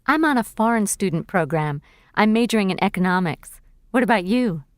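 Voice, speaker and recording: An actress playing a cheerful young female university student in a scripted textbook dialogue, speaking clearly and expressively. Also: mid-range voice, animated, fairly quick, no echo, studio quality, close to an everyday voice. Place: recording studio.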